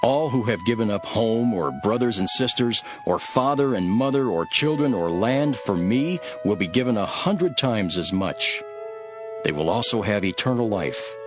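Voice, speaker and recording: A man playing a calm, authoritative religious teacher in a dramatized scripture, solemn and measured. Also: on the low side, close to an everyday voice. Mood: solemn, assuring. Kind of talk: dramatized scripture reading with storytelling. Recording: background music under the voice.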